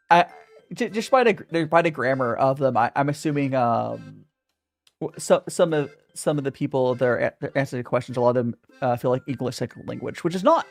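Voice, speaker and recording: Young male streamer, casual and halting, very animated intonation. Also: on the high side. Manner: hesitant, many restarts, reads chat aloud. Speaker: streamer answering viewer questions. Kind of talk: casual livestream Q&A.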